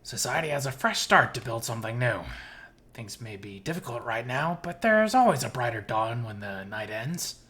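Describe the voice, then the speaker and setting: A man acts out a young male animal survivor from a furry visual novel. He is earnest and curious, with a hopeful streak, and speaks at a middling pitch. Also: very animated, normal speed, pushed and bright.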